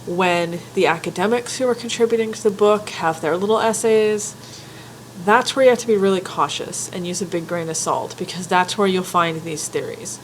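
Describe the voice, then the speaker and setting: A woman with a stuffy head cold giving an informal spoken lecture, careful and candid, often pausing with ums and restarting her sentences. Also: lowish voice, a little hiss or hum, rough recording. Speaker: folklore writer and teacher.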